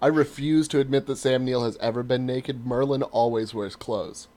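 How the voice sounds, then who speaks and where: A man in his thirties chatting casually as a podcast co-host, telling stories in an animated way with emphatic swearing. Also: mid-range voice, normal speed, background noise. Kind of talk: casual banter, profane chat.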